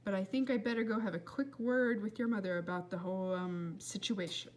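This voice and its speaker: A woman's voice: medium pitch, fairly even intonation, a little fast, slight background noise, natural voice. A woman reading a storybook as a good-natured, wondering father. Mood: gentle wonder. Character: amazed, good-natured father, adult man.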